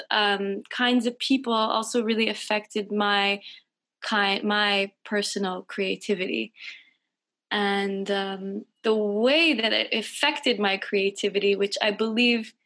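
A woman's voice: medium pitch, fairly bright tone. A woman in her thirties, a musician, answering interview questions thoughtfully over a video call. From United States. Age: 30s. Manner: thoughtful, slow, searching. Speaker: guest musician. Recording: lo-fi call audio.

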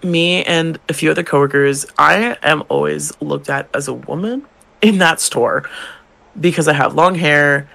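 A man's voice: a little high, measured pace, sharp tone. A young man, a drag queen co-hosting a podcast, telling work stories in casual chat, swearing and acting out customers' lines. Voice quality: gravelly, feminine-leaning voice.

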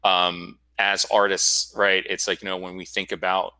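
A man's voice: low voice, little pitch movement, moderate pace, pushed and bright, clear, resonant tone, no echo, rough recording. A man in his forties from Florida, a guest telling his story in a casual, rambling interview. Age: 40s. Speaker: guest, school founder.

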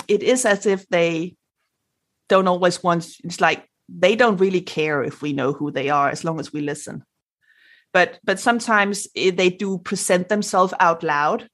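Animated Danish woman with sound effects. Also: lowish voice, unhurried. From Denmark. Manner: frequent restarts and fillers. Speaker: guest, spirit channeler. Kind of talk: podcast interview.

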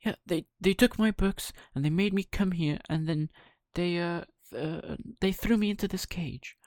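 A man voicing a naive, excitable wooden puppet boy who stammers as he explains, for a children's storybook. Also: sits high, moderate pace, no echo, clean studio sound, voice pushed up. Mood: nervous fibbing. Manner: hesitant, stammering when explaining.

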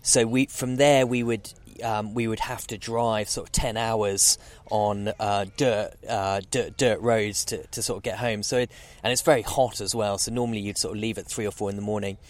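Man, 40s, low voice, rambling, many fillers. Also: fairly even intonation, moderate pace. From Zambia. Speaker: guest oceanographer. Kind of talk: casual interview.

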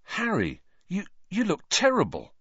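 A man's voice: sits high, wildly swooping pitch, unhurried, bright, forceful tone, slightly rough, no echo, dull audio, voice pushed up, exaggerated voice. A man voicing an anxious, bookish teenage girl in a novel, with urgent, panicky pleading. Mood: worried.

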